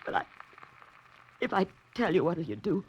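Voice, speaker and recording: A woman's voice: lowish voice, very animated, normal speed. A woman playing a guarded, desperate woman in her thirties in a 1940s radio melodrama, with a dramatic delivery. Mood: resigned, confessing. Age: 30s. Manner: dramatic, haughty turning to pleading. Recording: faintly noisy vintage 1940s radio recording.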